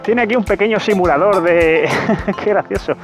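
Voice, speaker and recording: A man's voice: high voice, moderate pace, music in the background. A man reviewing a motorcycle while riding it through city streets, talking casually and joking at his own expense, recorded on a helmet camera mic. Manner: chatty, joking, laughs at himself. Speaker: motovlogger.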